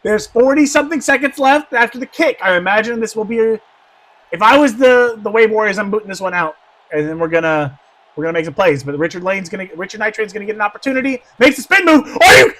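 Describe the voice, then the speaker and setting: A man doing play-by-play football commentary, going hoarse, who swings from quiet asides to shouted excitement. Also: extremely high pitch, a little fast.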